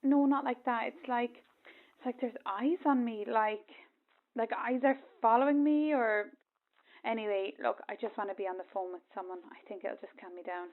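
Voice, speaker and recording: A woman's voice: fairly high pitch, normal speed. A woman playing an anxious, jittery caller in a radio play, hesitant and nervous, through a phone filter. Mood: anxious, insistent. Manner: hesitant, breathy sighing, nervous. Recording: thin phone-like sound.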